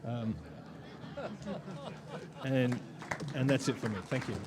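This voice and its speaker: A man's voice: medium pitch, fairly even intonation, takes it slowly, over a noisy crowd, very noisy. A man presenting slides at a conference, wry and conversational, with frequent "uh" fillers. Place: conference room.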